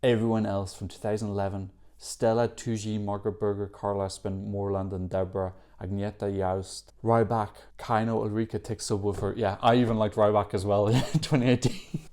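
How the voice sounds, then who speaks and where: A man's voice: on the low side, level tone, moderate pace, hardly any pauses, darkish tone. A man hosting a Eurovision reaction video, giving chatty, opinionated commentary and correcting himself as he goes. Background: faint music from the songs being reacted to.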